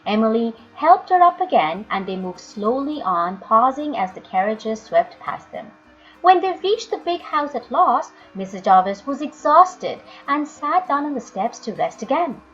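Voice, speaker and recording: A woman storyteller reading a children's book aloud with expressive, dramatic, emphatic phrasing. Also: a little high, a little slow. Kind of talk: expressive children's audiobook narration. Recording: dull lo-fi audio, music underneath.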